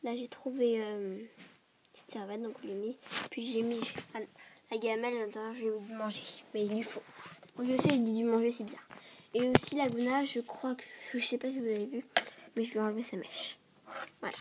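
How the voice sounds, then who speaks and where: A woman with a stuffy voice from a cold, rambling quickly through a casual show-and-tell vlog and often dropping to a whisper. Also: fairly high pitch, steady tone. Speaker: vlogger showing a toy dollhouse. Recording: clear background noise and clicks.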